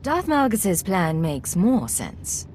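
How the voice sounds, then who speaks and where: A woman playing a self-assured Imperial agent in a video game, measured and matter-of-fact. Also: medium pitch, very animated, darkish tone, a little hiss or hum. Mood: composed, assured. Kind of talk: video game cutscene acting.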